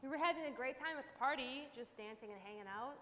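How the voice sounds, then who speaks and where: A woman's voice: high-pitched, quick pace, pushed and bright. A young woman playing a regretful college student recounting her experiences in a staged monologue. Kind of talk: staged readers theater monologue. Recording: echoey hall, faint room noise.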